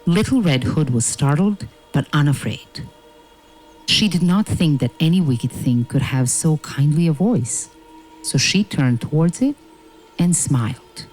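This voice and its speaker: A man narrating a fairy tale from a script in a measured, dramatic, deliberate way. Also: on the high side, expressive, darkish tone, faint music behind, rough recording.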